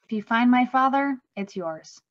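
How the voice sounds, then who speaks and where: A young woman playing a worried, pleading young woman in need of help, in an animated fantasy table read. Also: a little high, moderate pace, dull audio, lightly acted.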